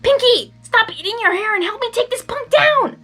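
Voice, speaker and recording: A woman voicing a brash, combative female pony in a storybook, with a forceful, commanding manner. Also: extremely high pitch, expressive, normal speed, faint music behind, voice pushed up, full character voice.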